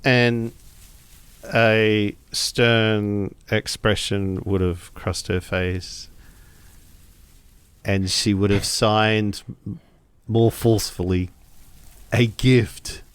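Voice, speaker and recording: Unhurried, hesitant man playing a tabletop game. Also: on the low side, steady tone, clean tone, no room sound. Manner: hesitant, piecing sentences together. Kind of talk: casual in-game narration and chat.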